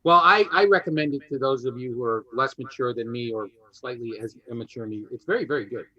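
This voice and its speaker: A man's voice: medium pitch, quick pace, sharp tone, dull audio. A man hosting a game show in an unscripted, joking style.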